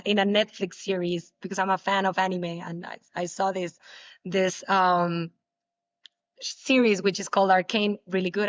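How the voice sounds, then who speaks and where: A young woman whose native language is Spanish, answering interview questions casually, with many halting restarts. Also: medium pitch, no room sound, dull audio. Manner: halting, repeats words, laughs often. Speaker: guest, pole dancer.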